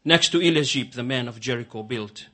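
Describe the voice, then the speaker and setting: Fijian man preaching through an interpreter. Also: medium pitch. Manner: short phrases with pauses for the interpreter, many fillers, rising to loud exclamations. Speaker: guest preacher. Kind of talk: sermon in English with live interpretation.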